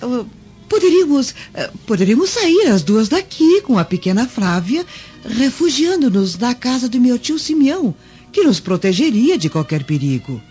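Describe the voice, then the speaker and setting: An actress playing a devoted, anxious maidservant in a radio drama, earnest and deferential. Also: female voice, on the high side, sing-song, normal speed, warm tone, soft background music. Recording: muffled lo-fi recording.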